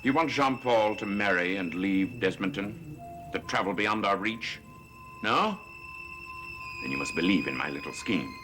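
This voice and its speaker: A sly middle-aged warlock speaks in a very low voice that swings widely in pitch. He talks in a smooth, insinuating way, as in a 1960s soap opera. Music plays behind him on an old TV soundtrack. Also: animated, a little slow, pauses often, sharp tone, exaggerated voice, rough recording.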